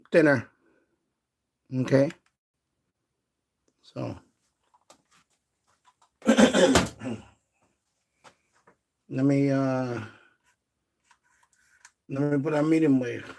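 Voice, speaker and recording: A man's voice: on the high side, expressive, drawn-out words, long pauses. A man chatting casually and repetitively as he hosts a livestream demonstrating radios from indoors. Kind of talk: casual chat. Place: indoors in a basement.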